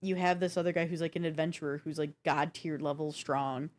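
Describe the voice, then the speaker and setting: A woman with a slightly low voice talks casually as a podcast co-host reviewing games. She tells things in an animated, self-deprecating way, in loose conversational speech. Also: brisk. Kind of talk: casual gaming chat and review.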